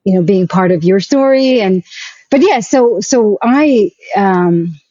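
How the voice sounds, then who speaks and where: Mellow South African woman being interviewed. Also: medium pitch, expressive, slow, no echo, muffled recording. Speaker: interview guest, arts nonprofit executive. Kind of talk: conversational personal account.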